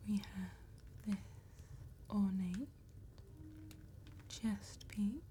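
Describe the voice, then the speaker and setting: A woman playing an attentive, courteous vampire tattoo artist in a slow, breathy ASMR whisper with deliberate pauses. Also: mid-range voice, fairly even intonation, slight background noise. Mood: calm, attentive. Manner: slow, deliberate, gentle phrasing with long pauses.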